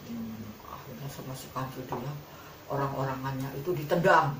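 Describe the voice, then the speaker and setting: A woman's voice: extremely low pitch, brisk, a bit bright. A woman telling a children's fable in an informal, repetitive, colloquial way. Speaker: storyteller. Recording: roomy, distant mic, faint background noise.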